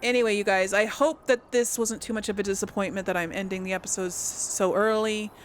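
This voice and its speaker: A woman's voice: medium pitch, fairly even intonation, fairly quick. Woman doing casual let's play, noisy background. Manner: informal, chatty, addressing viewers directly. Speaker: gaming channel host.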